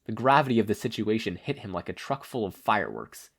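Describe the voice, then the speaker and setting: A man's voice: on the low side, very animated, fast talker, creaky, slightly rough. A man reading scripted fan fiction aloud as a narrator. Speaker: podfic narrator.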